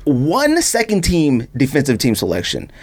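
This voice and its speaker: A man's voice: medium pitch, wildly swooping pitch, normal speed. A man in a casual sports debate, talking in a slangy, insistent way.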